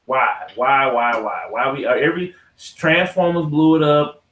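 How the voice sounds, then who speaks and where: Man with American accent for casual, sweary podcast rants; ordinary male pitch, roomy echoing sound. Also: steady tone, moderate pace, a bit bright. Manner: slangy, profane, ranting. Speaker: podcast host. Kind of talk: casual opinionated podcast talk. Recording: echoey untreated room.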